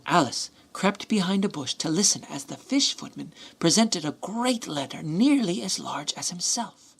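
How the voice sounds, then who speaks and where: A woman narrating a radio play in an expressive, scripted storybook style. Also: fairly low pitch, normal speed, vocal fry, slight background noise.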